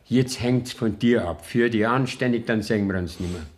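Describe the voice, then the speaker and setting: An actor playing a dry, weary prison official with a Bavarian accent in a radio play, matter-of-fact and gruffly admonishing. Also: male voice, mid-range voice, moderate pace, close to an everyday voice. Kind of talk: German radio play acting in dialect.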